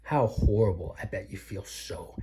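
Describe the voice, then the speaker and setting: A man's voice: low voice, normal speed, mellow, slightly rough, heavily acted, poor audio. A man voicing an angry, growling brown bear in a children's storybook, speaking emphatically. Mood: gruff, indignant. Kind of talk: children's storybook read aloud.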